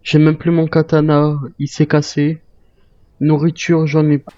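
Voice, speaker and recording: A man's voice: a little high, monotone, unhurried, dark tone, dry recording, highs cut off. A man chatting casually in character as a wary survivor while playing a zombie roleplay game.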